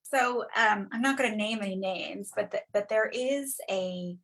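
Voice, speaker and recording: A woman's voice: medium pitch, measured pace, a bit bright. A woman with an American accent speaking as an academic panelist, emphatic and careful with her wording. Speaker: academic panelist, South Asia scholar.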